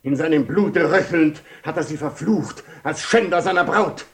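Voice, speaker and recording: Man acting high, creaky, outraged old nobleman. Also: normal speed, lightly acted. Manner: agitated, declamatory. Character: outraged, vengeful old nobleman demanding a duel. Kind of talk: 1970 radio play acting.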